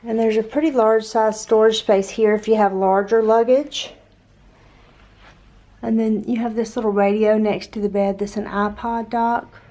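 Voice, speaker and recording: A woman vlogging a walk-through of a hotel suite, chatty and upbeat, her voice a little raspy after an overnight flight, recorded on the filming camera indoors. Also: medium pitch, warm tone. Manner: chatty, upbeat, tour-guide pointing out details. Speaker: travel vlogger. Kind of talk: casual hotel tour vlog.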